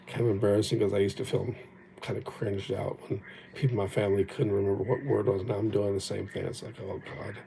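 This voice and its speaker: A man whose first language was Japanese rambles through a reflective livestream chat, now and then poking fun at himself. His low voice is mellow and gravelly. A faint hiss sits under the sound of an old phone mic. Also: poor audio. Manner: rambling, reflective, self-deprecating. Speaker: livestream host.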